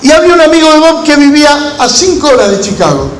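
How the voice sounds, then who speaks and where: A man with an Argentine Spanish accent preaching as a pastor, telling stories in measured, deliberate phrases with pauses. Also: extremely high pitch, big pitch swings. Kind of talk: sermon to a gathering of pastors, with storytelling. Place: large room at a church conference. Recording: echoey hall with background noise.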